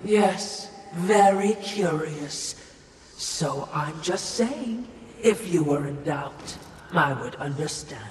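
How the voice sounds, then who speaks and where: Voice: mid-range voice, lively intonation, slow pace. An actor playing a deferential, wary servant companion in a video game, hesitant and insinuating. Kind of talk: video game drama acting. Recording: distant, reverberant, noise behind.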